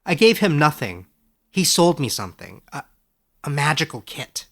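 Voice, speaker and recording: A man voicing an earnest, excitable young former student in a storybook, eager and quick to defend himself. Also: a little high, gravelly, voice pushed up, heavily acted. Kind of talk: storybook character voice in audiobook narration.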